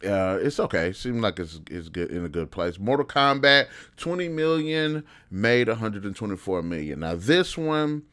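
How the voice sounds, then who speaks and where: A man's voice: on the low side, lively intonation, moderate pace, hardly any pauses, a bit bright, smooth. A man, around his thirties, giving casual unscripted commentary as a video host, in a rambling, opinionated, conversational way. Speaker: video host reviewing video game films.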